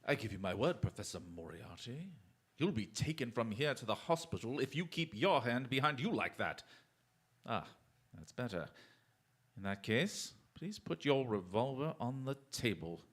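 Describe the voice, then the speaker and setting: A man's voice: mid-range voice, very animated, moderate pace, a bit bright, husky. A man playing a shrewd, commanding detective in a radio drama, with a dryly ironic, clipped and theatrical manner. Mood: coolly threatening. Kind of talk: stage-style radio drama acting.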